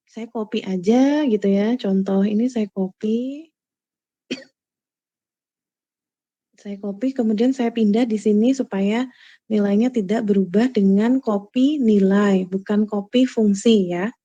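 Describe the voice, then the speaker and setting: A woman's voice: a little high, warm tone. A woman lecturer talking students through a spreadsheet step by step, often checking that they follow. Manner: explanatory, checks understanding with quick questions. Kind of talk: screen-recorded spreadsheet lecture. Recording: dull lo-fi recording.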